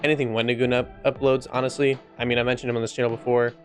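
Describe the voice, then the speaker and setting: A man's voice: medium pitch, flat delivery, racing, loud music behind the voice. A man giving casual opinion commentary as a video host, informal and profane, often repeating himself for emphasis.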